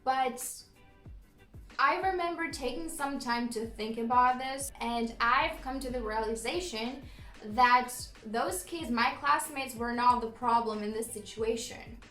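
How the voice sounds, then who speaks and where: A young woman whose first language is Romanian tells her story in English with a noticeable Romanian accent. Her voice sits high, and she chats easily, dropping in ums and small laughs. The room rings with echo, and soft music plays underneath. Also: measured pace. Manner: chatty, laughs often, frequent ums. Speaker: YouTuber. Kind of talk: casual storytime vlog.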